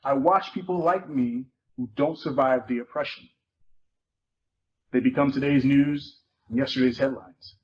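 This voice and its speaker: A man's voice: mid-range voice, unhurried. A man reading a memoir aloud in a dramatic reading at a live event. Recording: muffled, noisy live event recording.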